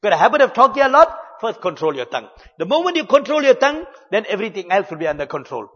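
A man delivers a measured, earnest religious talk in a high voice. His words ring out with a long hall echo. The sound is dull and muffled. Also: sing-song, a bit bright, slightly rough. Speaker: Islamic preacher.

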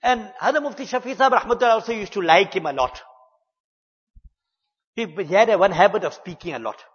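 A man giving an earnest, measured religious talk, mixing in Arabic and Urdu religious terms. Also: high voice, animated, husky, in a large hall, highs cut off. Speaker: Islamic preacher.

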